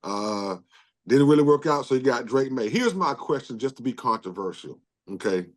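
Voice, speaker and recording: A man's voice: medium pitch, expressive, normal speed. A man co-hosting a sports podcast, talking informally and checking his points as he goes. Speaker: podcast co-host. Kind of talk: casual sports chat.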